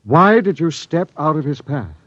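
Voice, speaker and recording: Grave expedition captain, vintage radio. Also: male voice, a little high, very animated, no echo. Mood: probing. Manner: dramatic, urgent, deliberate. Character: male expedition captain, grave, driven, desperate for answers. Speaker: narrator and lead actor. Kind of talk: old-time radio drama acting and narration. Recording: dull vintage radio recording with music.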